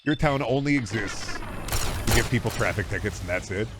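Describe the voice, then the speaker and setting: A man's voice: lowish voice, brisk. American man rambling sardonically over background noise. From Iowa, US. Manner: rambling, sardonic, repeats words for emphasis. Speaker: game streamer. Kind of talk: casual livestream chat.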